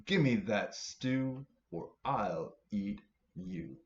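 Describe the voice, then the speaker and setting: In a roomy, muffled recording, a man puts on a huge hairy storybook monster. The hungry brute talks slowly and bluntly, full of menace. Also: lowish voice, lively intonation. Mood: hungry, threatening. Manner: slow, blunt, threatening. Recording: roomy, dull home recording with faint noise.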